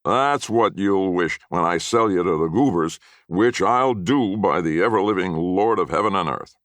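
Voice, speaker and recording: A man's voice: low-pitched, expressive, moderate pace, fairly bright tone, dry recording, voice pushed up. A man voicing a mean, blustering old grandfather with folksy rural speech in a story reading. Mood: menacing.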